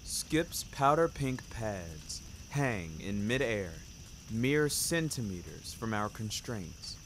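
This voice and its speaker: A man's voice: lowish voice, sing-song, slow pace, fairly bright tone, slight background noise. A man narrating a scripted first-person story in a wry, florid, dramatic manner. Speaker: narrator of a fiction podcast. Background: music under the voice.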